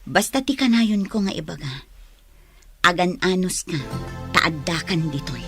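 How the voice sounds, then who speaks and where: A woman's voice: on the low side, expressive, unhurried, fairly bright tone, faint music behind. A woman playing a patient, concerned elder sister in a radio drama. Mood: sympathetic. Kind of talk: radio drama acting in Ilocano.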